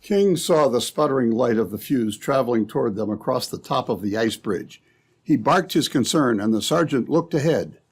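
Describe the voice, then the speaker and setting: A man's voice: medium pitch, expressive, normal speed, slight background noise. A man narrating an old-time radio adventure from a script, as the storyteller between scenes.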